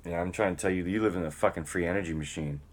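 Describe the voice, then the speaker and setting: A man's voice: deep voice, racing. A man giving a dramatic, theatrical spoken-word reading, moving between emphatic lines and muttered casual asides.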